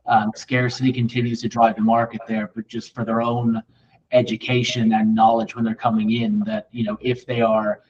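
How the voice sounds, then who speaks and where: A man's voice: lowish voice, level tone, normal speed, darkish tone. A man hosting a conversational real estate interview, speaking in a rambling, agreeable way. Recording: distant, dull lo-fi microphone.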